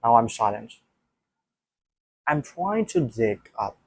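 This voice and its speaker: Non-native English male, husky mid voice, self-talk to camera, repeats and restarts a lot. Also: medium pitch, big pitch swings, slow, no room sound. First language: not English. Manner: thinking aloud with many restarts and repeats. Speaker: language learner. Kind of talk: casual solo talk to camera.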